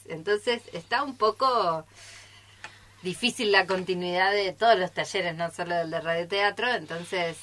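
A woman's voice: lowish voice, pushed and bright. A woman guest from a drama troupe chatting spontaneously and explaining things, often checking for agreement. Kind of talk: spontaneous group interview chat.

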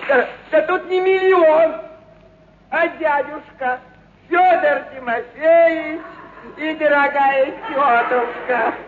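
A very high, slow-paced circus clown, gleeful and boisterous in a radio play. He stretches his exclamations like a showman, in an echoey, noisy recording. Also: narrow, tinny audio. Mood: showy, gleeful. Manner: exclamatory, drawn-out showman patter. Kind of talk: theatrical radio story acting.

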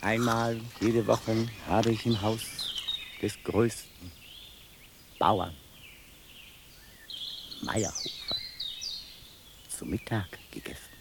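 Slow solemn ex-priest in an institution, formal radio play monologue, noisy recording. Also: male voice, on the low side, steady tone, gravelly, heavily acted. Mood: subdued, insistent. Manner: deliberate, formal, solemn recitation. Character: institution inmate, former priest, calmly confessing grim absurd deeds. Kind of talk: literary radio drama monologue.

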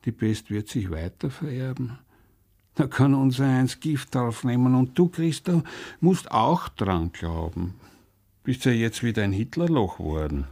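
A man's voice: medium pitch, animated, measured pace, darkish tone. An actor playing a brutal, vengeful gunman in a radio drama, commanding and threatening, with a southern German or Austrian accent. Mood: vengeful rage. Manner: threatening, commanding, swings between taunting and raging. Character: vengeful, brutal male ex-camp gunman, menacing and taunting.

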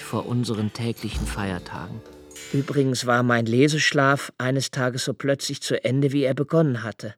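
An actor playing a pensive, sensitive man who recalls his childhood in reflective, deliberate literary narration, with swells of intensity. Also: medium pitch, lightly acted. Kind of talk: literary first-person radio-play narration.

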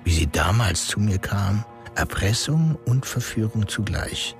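A man's voice: lowish voice, expressive, measured pace. An actor playing a cynical, scheming male power broker in a radio drama, talking in a cold, calculating inner monologue. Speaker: politician character. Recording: studio radio play with music.

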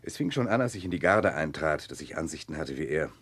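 An actor playing an earnest, remorseful Russian nobleman in a radio drama, speaking in a grave, reflective, husky voice. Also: a bass voice, animated, fast, fairly bright tone, no room sound, heavily acted. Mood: earnest, brooding. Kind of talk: German radio play acting, part dialogue, part letter narration.

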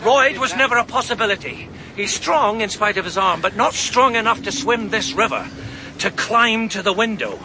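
An actor playing a shrewd senior police detective who lays out his case in a measured, cutting way in a radio drama. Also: sits high, animated, background noise. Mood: cold, accusing. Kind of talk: 1980s-90s radio drama acting.

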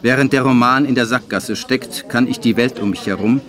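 An actor playing a wry, detached screenwriter who narrates a radio drama in a measured, dry, literary way. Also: male voice, medium pitch, fairly bright tone, lightly acted. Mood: reflective. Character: male screenwriter narrating his postwar schemes, wry and detached. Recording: studio radio play over music.

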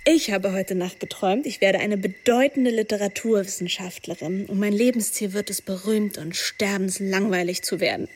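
A woman's voice: mid-range voice, moderate pace, hardly any pauses, natural voice. A woman playing a brooding teenage girl, noisy background. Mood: brooding, introspective. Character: scheming, anguished teenage girl narrating her story. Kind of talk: radio drama with introspective first-person narration.

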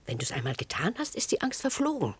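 An actress in a German radio play, playing an anxious, sheltered woman whose breathy voice often falls to a whisper and can turn urgently pleading. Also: lowish voice, wildly swooping pitch, fast talker, dry recording, full character voice. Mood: insistent urging. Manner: hushed and timid, breaking into urgent pleading.

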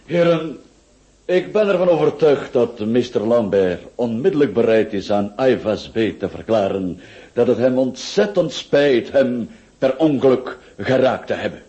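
An actor playing a formal, conciliatory captain in a radio play, courteous in manner. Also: male voice, mid-range voice, animated, a little slow, dark tone, lightly acted. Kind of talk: Flemish radio play acting. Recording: dull lo-fi radio recording.

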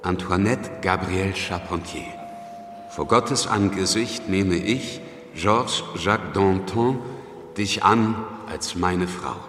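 Very deep-voiced, worldly young lawyer. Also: male voice, slow, noisy background. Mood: solemn. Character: worldly, blunt male lawyer in his late twenties. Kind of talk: radio drama acting.

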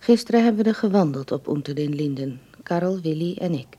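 A woman's voice: sits low, expressive, fast, warm tone, husky, muffled recording. A woman reading out a letter as narration in a radio drama. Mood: calm, reflective.

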